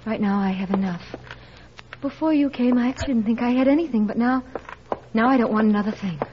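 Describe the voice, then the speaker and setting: A woman acts an intense, adoring teenage niece in a fairly high voice, speaking haltingly and earnestly. The 1940s radio drama sounds muffled and slightly hissy. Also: lightly acted.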